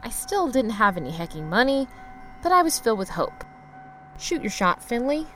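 A woman playing a scrappy, broke young mover who tells their misadventures in an expressive, slangy, playfully dramatic comedy audio-drama style. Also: fairly high pitch, normal speed, slight background noise. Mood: upbeat, hopeful. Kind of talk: first-person narrated comedic audio drama with acted dialogue.

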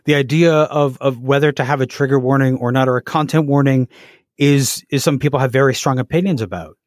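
A man's voice: medium pitch, steady tone, fast talker, no echo, studio quality. A man hosting a podcast, speaking hesitantly with many fillers and restarts. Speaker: podcast host. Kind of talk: podcast interview.

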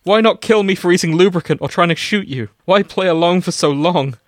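A man's voice: high-pitched, a little fast, no echo, clean studio sound, pitched above the performer's own voice, natural voice. Man hamming up a lovestruck, melodramatic man. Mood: accusing. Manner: hammy, melodramatic. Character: Will, lovestruck, dramatically emotional man in fan fiction. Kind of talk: dramatic fan fiction reading.

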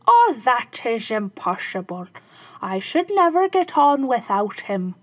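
A woman voicing a cunning shoemaker in a fairy tale, speaking loudly and deliberately. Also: a little high, very animated, dry recording, full character voice. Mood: sly. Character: cunning village shoemaker, grown man, sly.

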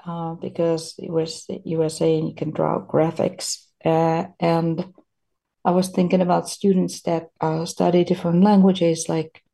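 A woman's voice: lowish voice, little pitch movement, unhurried, vocal fry, mellow. A woman from Finland with a Finnish accent, English not her first language, asking a question in a hesitant, apologetic way. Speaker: caller.

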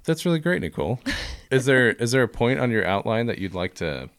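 A man's voice: mid-range voice, big pitch swings, fairly quick, no room sound. A young man co-hosting a casual podcast, teasing and wry in his banter. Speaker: podcast co-host.

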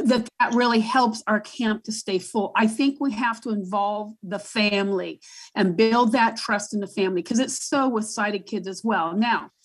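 A woman with a booming voice speaking as a guest advocate in a panel discussion, emphatic and repeating herself as she works out her thoughts.

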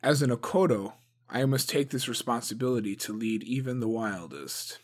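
A man voicing a calm, dutiful young male clan leader who speaks in a measured, grave way. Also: medium pitch, close to an everyday voice. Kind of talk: audiobook character voice.

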